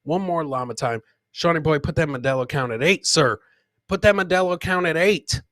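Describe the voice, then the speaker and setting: Casual male comedy host, fairly high voice. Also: moderate pace, a bit bright, no echo, clean studio sound. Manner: informal, rambling, joking asides. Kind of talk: casual live-show chat, commenting on clips.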